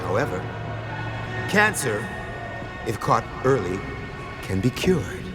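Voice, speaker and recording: An actor playing a menacing, grandiose crime lord in a game cutscene, speaking with pompous, theatrical deliberation. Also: male voice, medium pitch, big pitch swings, slow pace, over music. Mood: ominous, theatrical. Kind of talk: dramatic villain monologue in a game cutscene.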